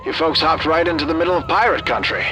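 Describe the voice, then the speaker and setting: A man's voice: a little high, quick pace, noisy background, lightly acted. A man playing a confident spaceport commander in a retro sci-fi audio drama, swaggering and reassuring.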